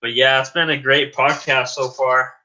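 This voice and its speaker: A man's voice: mid-range voice, flat delivery, normal speed, sharp tone, lo-fi sound. A man guest-hosting a casual podcast, earnest and insistent, repeating his points. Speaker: guest host.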